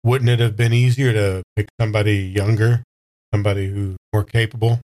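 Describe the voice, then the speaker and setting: A man's voice: fairly low pitch, level tone, a little fast, darkish tone, no echo, close to an everyday voice. A man playing a weary, questioning man with a dry manner in improvised audio drama roleplay. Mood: wry, probing.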